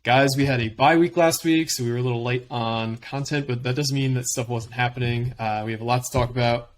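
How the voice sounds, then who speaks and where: A man's voice: mid-range voice, fairly even intonation, fairly quick. A man hosting a sports podcast, opening the show and ribbing his co-hosts in casual, teasing banter. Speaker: podcast host.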